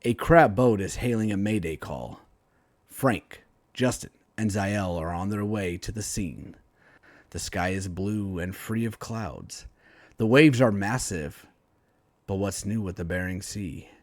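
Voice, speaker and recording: A man reading a superhero story aloud in a dramatic, emphatic storytelling style with deliberate phrasing. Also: lowish voice, no echo. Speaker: author reading own story, writing teacher.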